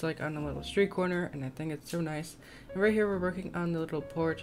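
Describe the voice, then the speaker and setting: A young woman with a slightly scratchy voice giving casual, tentative, rambling voiceover commentary on a game build. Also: sits low. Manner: rambling, self-conscious, tentative. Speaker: gaming video creator. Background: soft plucked guitar music.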